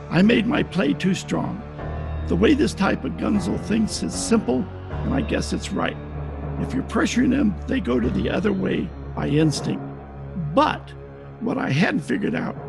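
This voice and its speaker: A man playing a wisecracking, slangy, tough-talking private detective in 1940s radio drama style, with a gravelly voice. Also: high-pitched, full character voice. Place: performer's home, recorded remotely. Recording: home video-call recording with music behind.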